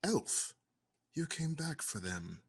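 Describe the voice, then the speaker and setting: A man's voice: on the high side, sing-song, slow, husky, heavily acted. A man playing a gloating villain necromancer in a comic fantasy table read, mock-grand and melodramatic. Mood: sinister. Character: Dark Red, gloating theatrical villain necromancer.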